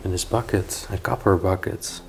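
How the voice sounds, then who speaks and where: A man's voice: sits low, steady tone, moderate pace, slight background noise, poor audio. A young man exploring an abandoned castle, pointing out old objects to viewers as he walks through the rooms. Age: young adult. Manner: marveling, points things out to viewers. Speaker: urban explorer vlogger. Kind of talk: casual walkthrough commentary. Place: large abandoned castle indoors.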